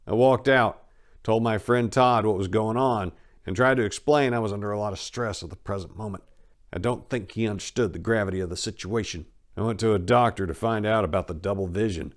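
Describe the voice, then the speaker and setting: A man's voice: lowish voice, brisk. A man narrating a first-person memoir as a dramatic reading, with emphatic stresses and asides that trail off.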